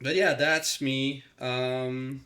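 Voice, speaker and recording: An Italian-accented man in his thirties hosts a relaxed podcast. He rambles easily through his thoughts, dropping "um" and "like" and restarting sentences as he goes. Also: medium pitch, extremely slow, clean tone. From Italy. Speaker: podcast host. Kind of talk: casual chat.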